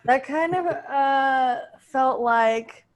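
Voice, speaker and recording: High-voiced, unhurried woman podcast host. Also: a crowd in the background. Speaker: comedy podcast host and performer. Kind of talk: casual post-show banter.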